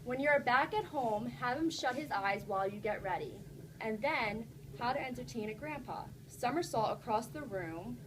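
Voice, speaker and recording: A woman's voice: a little high, expressive, moderate pace, background noise. A woman teacher reading a storybook aloud to children, encouraging and instructive, stopping to put questions to the class.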